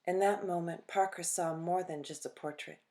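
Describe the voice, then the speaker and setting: A woman reading a children's picture book aloud expressively, phrasing deliberately with dramatic pauses. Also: lowish voice, fairly even intonation, dark tone. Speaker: children's storytime reader.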